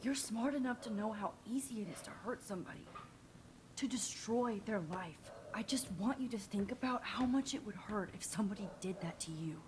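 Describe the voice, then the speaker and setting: A woman playing an earnest, quietly brave teenage girl in a video game, speaking softly and sincerely with a hushed delivery. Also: medium pitch, normal speed, background noise, lightly acted. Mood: earnest, gentle. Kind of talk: video game voice acting, soft introspective delivery.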